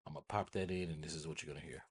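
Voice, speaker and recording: An American man with a husky voice hosting a casual software tutorial, rambling informally and often checking in with listeners. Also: a bass voice, fairly even intonation, rapid-fire. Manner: informal, rambling, repeats check-in tags like "right?" and "okay?". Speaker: host of a tech tutorial channel.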